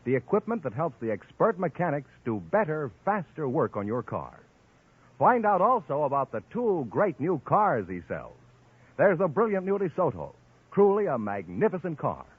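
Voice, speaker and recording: A man announcing in formal, scripted vintage radio style, like a mid-century sales pitch. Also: huge pitch swings, moderate pace, husky, dry recording. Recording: tinny, band-limited old radio broadcast.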